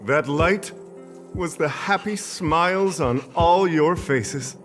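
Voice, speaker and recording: A man's voice: fairly high pitch, slow. A man voicing a vain, theatrical professor in a video game, pompous and grandiloquent. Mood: grandiose. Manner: pompous, grandiloquent, hesitating when cornered. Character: vain, theatrical male professor adored by fans. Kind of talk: theatrical game character dialogue. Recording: game dialogue with music behind.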